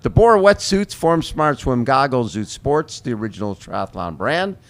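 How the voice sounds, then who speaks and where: Man, talk show host, gravelly voice, chatty, jokey, hotel interview. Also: mid-range voice, sing-song, normal speed. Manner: chatty, jokey, sums up guest's answers. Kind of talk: casual interview.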